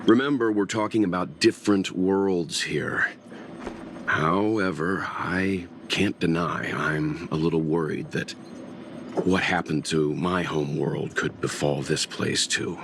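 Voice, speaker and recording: A man playing a calm, scholarly middle-aged mentor in a video game, measured and dryly wry in his speech. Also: low voice, noisy background. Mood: thoughtful. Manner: measured, reasoned, dryly amused.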